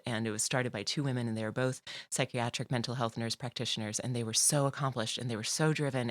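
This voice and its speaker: A woman's voice: very deep voice, no room sound, studio quality. A woman guest on a podcast, talking casually about her life, with frequent laughter and lots of "like" and "you know". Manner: chatty, laughs often, many fillers. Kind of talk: casual conversational interview.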